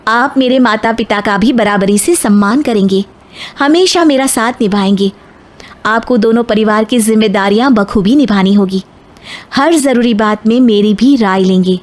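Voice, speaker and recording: A woman's voice: on the high side, close to an everyday voice. A woman voicing a young educated, determined bride who speaks up firmly in a moral story. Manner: protesting, firm.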